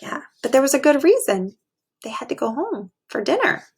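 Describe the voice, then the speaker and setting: A woman with a fairly high voice speaks as a teacher to young children. She explains in simple words and puts questions to her listeners. Her delivery is expressive, in the style of a classroom read-aloud. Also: normal speed.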